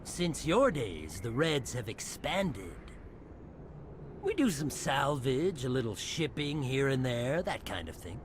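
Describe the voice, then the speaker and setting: An actor playing a scheming, smug human gang thug in a video game, with a needling, menacing manner. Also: male voice, mid-range voice, very animated, slow pace, noisy background. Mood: sly pitching.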